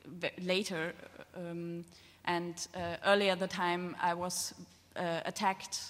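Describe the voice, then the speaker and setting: A woman speaking unscripted as a panelist in a public discussion, halting and often searching for words. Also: fairly low pitch, flat delivery, a bit bright. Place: large reverberant hall. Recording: echoey hall with long reverb.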